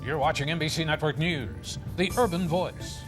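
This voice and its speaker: A man's voice: a little high, moderate pace, loud music behind the voice. A man with an American accent anchoring a television newscast, reading scripted news.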